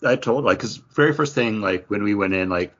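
Low-pitched man, casual podcast chat. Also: a little fast, fairly bright tone. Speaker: podcast co-host. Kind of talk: casual chat, craft beer review. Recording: dull, lo-fi audio.

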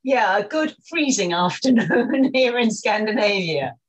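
A woman's voice: medium pitch, a little slow, husky. An older woman from England with an English accent, a guest chatting casually and laughing easily as she tells her story over a video call. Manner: chatty, laughs easily, frequent restarts, animated when quoting others.

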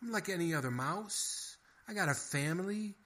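A man's voice: high-pitched, lively intonation, normal speed, fairly bright tone, voice pushed up. A man voicing a scheming male mouse who pesters with stammering, wheedling questions. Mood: indignant. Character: fast-talking scheming male mouse, pushy.

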